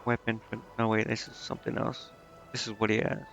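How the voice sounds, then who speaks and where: A man's voice: lowish voice, monotone, brisk, fairly bright tone, soft background music. A man giving casual gameplay commentary, thinking aloud and questioning himself as he goes. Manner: musing, questioning himself as he reads menus. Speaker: video game streamer. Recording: muffled lo-fi mic with clear background noise.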